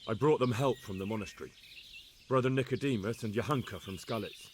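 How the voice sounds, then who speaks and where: An actor playing an earnest, measured young medieval man-at-arms in a video game. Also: lowish voice, a little hiss or hum, close to an everyday voice. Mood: sober, dutiful. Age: young adult.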